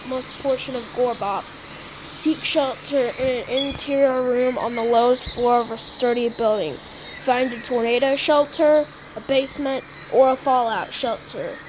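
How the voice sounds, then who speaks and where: A woman improvising a mock emergency broadcast announcement in a halting way, often stumbling and repeating words. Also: high voice, steady tone. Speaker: announcer of a fictional emergency alert. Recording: noisy homemade recording, birdsong behind.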